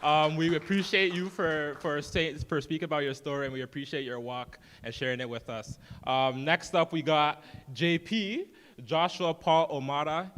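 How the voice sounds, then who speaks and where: A man's voice: a little high, fairly bright tone. A man acting as event host, introducing speakers from the stage. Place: event hall. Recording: reverberant hall with faint noise.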